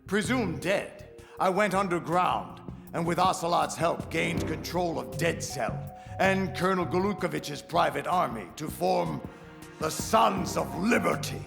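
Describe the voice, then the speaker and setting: An actor playing a scheming, middle-aged rebel leader in a video game drama, speaking in a grandiose, deliberate manner. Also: male voice, a little high, animated, full character voice. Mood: smug, brooding. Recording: long reverb, music underneath. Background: clear music.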